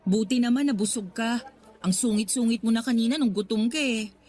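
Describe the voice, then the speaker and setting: A woman's voice: a little high, fairly quick, darkish tone, lightly acted. An actress playing an exasperated, sulky young working girlfriend with a Filipino accent, huffy and nagging in a radio drama. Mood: sulky, annoyed. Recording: background music under the voice.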